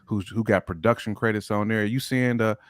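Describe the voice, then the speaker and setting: A man's voice: on the low side, steady tone, brisk, no echo, clean studio sound. A middle-aged man with an American accent chatting casually as a podcast co-host, slangy and conversational. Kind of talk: casual music chat.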